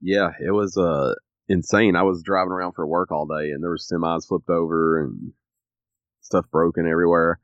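A man co-hosting a podcast, sniffly and a little raspy from allergies, rambling with many ums. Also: low voice, flat delivery, normal speed, dry recording, highs cut off. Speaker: podcast co-host. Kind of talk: casual chat.

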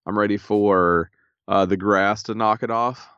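Very low-voiced stuffy man. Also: fairly even intonation, a little slow, fairly bright tone, clean tone, dry recording, dull audio. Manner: laid-back, dry humor. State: stuffy, a little raspy. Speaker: podcast co-host. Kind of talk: casual chat.

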